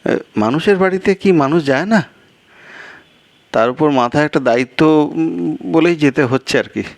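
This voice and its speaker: A man's voice: a little high, normal speed, dry recording, voice pushed up, natural voice. A man voicing a weary, anxious, polite traveller in a storytelling piece, fretful, hesitant and plaintive. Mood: defensive. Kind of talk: acted storytelling dialogue.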